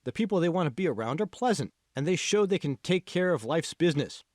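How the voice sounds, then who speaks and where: Man acting emphatic young pep-talking friend, swooping pitch. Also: very animated, quick pace, no echo, studio quality. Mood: insistent encouragement. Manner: emphatic, prodding, persuasive. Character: confident, encouraging young male college friend, insistent coach. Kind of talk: audiobook character dialogue.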